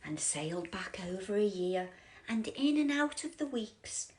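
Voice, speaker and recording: A woman reading a children's picture book as a bedtime storyteller, theatrical and drawn out, often dropping into breathy whispers. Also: mid-range voice, big pitch swings, unhurried, background noise.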